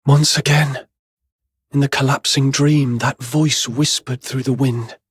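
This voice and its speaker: Husky, breathy young hero. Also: male voice, mid-range voice, steady tone, unhurried, no echo. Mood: reflective. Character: earnest, lost young male game protagonist. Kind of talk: video game dramatic acting.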